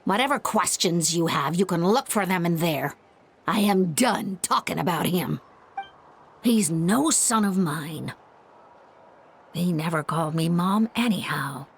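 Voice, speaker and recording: A woman's voice: lowish voice, expressive, unhurried, a little hiss or hum. An actress playing a bitter, unforgiving middle-aged mother in a video game, curt, cold and scornful. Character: bitter, unforgiving mother disowning her grown son.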